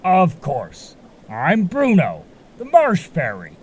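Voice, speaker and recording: A man voicing a touchy, flustered little male marsh fairy with a husky edge in an audio drama. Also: high-pitched, huge pitch swings, takes it slowly, full character voice. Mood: flustered, indignant.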